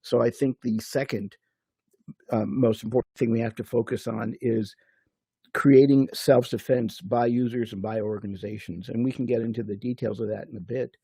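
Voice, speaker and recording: A man in his sixties, a security officer on a panel, speaking in a measured, reflective way. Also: medium pitch, fairly even intonation, gravelly, dark tone, breathy edge, no room sound. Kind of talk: panel discussion.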